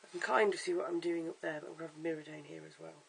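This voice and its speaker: A hoarse, croaky voice that crackles into gravelly fry, talking through a home wig tutorial. The delivery is chatty and stop-start, full of ums and small restarts, picked up by a camera's built-in mic with a faint hiss behind it. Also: medium pitch, flat delivery, very fast. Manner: chatty, stop-start with fillers and self-corrections. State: hoarse, lost voice. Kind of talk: casual how-to demonstration.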